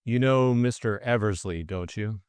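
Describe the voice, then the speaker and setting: Man playing deep-voiced, deliberate police superintendent. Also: clear, resonant tone, no room sound, lightly acted. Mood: guarded. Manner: quiet, deliberate, measured. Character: calm, firm, plain-spoken male police superintendent. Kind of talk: audiobook character acting.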